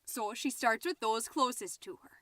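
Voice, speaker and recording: A woman's voice: fairly high pitch, normal speed, bright, forceful tone, no room sound. A woman playing a chatty, scatterbrained, overexcited middle-aged suburban mom who hosts a comedy podcast, rambling, interrupting herself and stressing words theatrically. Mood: dramatic storytelling. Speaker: podcast host. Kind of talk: comedic character monologue as a homemade podcast.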